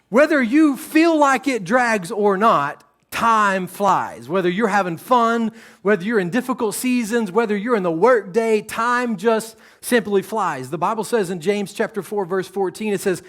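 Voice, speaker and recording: A man, preaching a sermon on a church stage in a relaxed, joking, conversational way. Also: high-pitched. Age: 30s. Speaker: pastor.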